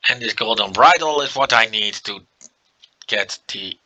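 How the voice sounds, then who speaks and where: A man with a Dutch accent, a native Dutch speaker, giving relaxed gameplay commentary and thinking aloud with many fillers. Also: fairly low pitch, normal speed, poor audio. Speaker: let's play commentator.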